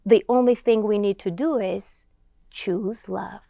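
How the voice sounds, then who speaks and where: A woman whose first language is Georgian, speaking as an interview guest and reciting poems in a slow, earnest, expressive way. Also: mid-range voice, vocal fry, dry recording, clean studio sound. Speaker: guest poet.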